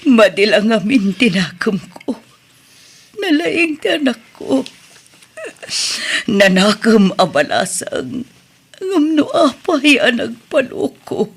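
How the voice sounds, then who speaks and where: A woman playing a worried mother in an Ilocano audio drama, speaking with an Ilocano accent, slowly and sorrowfully. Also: mid-range voice, sing-song, slightly rough, heavily acted. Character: worried Ilocano mother grieving over her daughter.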